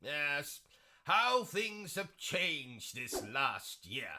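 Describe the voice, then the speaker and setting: A man's voice: on the high side, sing-song, extremely slow, sharp tone, slight background noise, heavily acted. A man with a British accent playing a pompous, rude, deranged posh gamer who hosts a gameplay stream and talks in a haughty, mock-grand way with rude outbursts. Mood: snooty. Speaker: gaming streamer persona. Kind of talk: comedic gameplay commentary.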